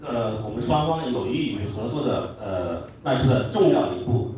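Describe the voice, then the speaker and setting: Mellow Chinese man in a very echoey room. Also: mid-range voice, lively intonation. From China. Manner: halting, hesitant delivery. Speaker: company representative, speaker. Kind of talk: formal speech. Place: large indoor hotel event room. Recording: very echoey hall, distant mic, faint noise.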